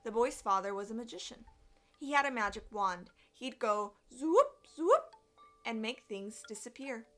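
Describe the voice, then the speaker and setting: A woman reading a children's story aloud in an expressive, deliberate storyteller's way. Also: on the high side, soft background music. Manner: animated, deliberate storytelling with acted sound effects. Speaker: storyteller, elementary music teacher.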